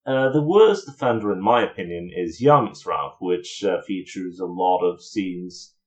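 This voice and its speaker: A man's voice: deep voice, animated, unhurried. A man giving casual review commentary as a video reviewer, with dry, sarcastic asides.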